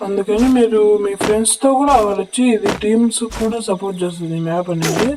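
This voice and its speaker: A Telugu-accented gaming creator speaks through a voice changer in a mid-pitched voice. The delivery is an instructional gameplay walkthrough that often repeats its directions. Music plays clearly behind the commentary. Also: fast talker, low-quality recording.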